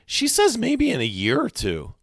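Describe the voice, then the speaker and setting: A man's voice: extremely high pitch, wildly swooping pitch, normal speed, gravelly, a bit bright, no echo, clean studio sound, pitched above the performer's own voice, exaggerated voice. A man voicing a small, brainy, sickly boy who talks in a pompous, precocious way for a story. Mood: earnest, eager. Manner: pompous, precocious, grandly dramatic.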